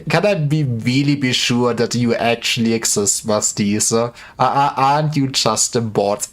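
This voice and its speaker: A man's voice: mid-range voice, normal speed. A man livestreaming games, chatting casually in a joking, rambling way with some stammering. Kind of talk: casual gaming livestream chat.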